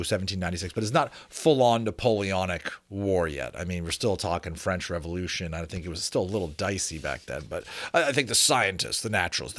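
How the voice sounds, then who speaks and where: A man doing a dramatic, deliberate audiobook reading, mixed with casual, chatty asides to his listeners. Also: deep voice, fairly bright tone, dry recording. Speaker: reader and presenter of a book reading channel.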